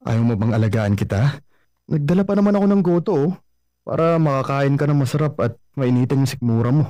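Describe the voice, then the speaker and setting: An actor playing a jealous, anxious young boyfriend in a radio drama, pleading and rising in pitch when upset. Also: male voice, lively intonation, brisk, warm tone, no room sound, natural voice. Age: young adult. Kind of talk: Filipino radio drama acting. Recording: music bed under the voice.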